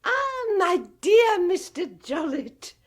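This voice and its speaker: Meek fluttery spinster sister in a radio play, very high voice, swooping, slow. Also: female voice, very animated, heavily acted. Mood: flustered. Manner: nervous, fluttering and eager to please. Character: timid, flustered older spinster sister.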